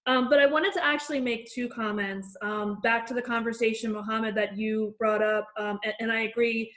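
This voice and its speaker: A woman with a New Jersey accent speaks earnestly on a video call, her pitch sitting fairly high but barely moving. She repeats words as she searches for the next one. Her voice rings in an echoey room. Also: steady tone, normal speed, a bit bright. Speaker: facilitator, HR professional.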